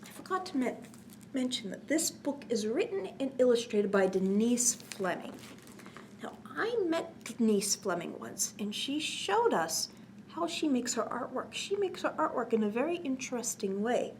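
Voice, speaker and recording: A woman hosting a children's story time, reading a picture book aloud with expressive, sing-song emphasis and pausing to explain and ask questions. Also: a little high, a little hiss or hum.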